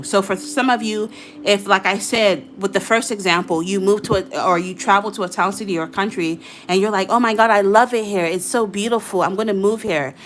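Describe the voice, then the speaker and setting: Casual woman tarot reader, chatty and loose, mid pitch, slight background hiss. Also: fairly bright tone. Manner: chatty, repetitive, casually profane. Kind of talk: casual tarot reading monologue.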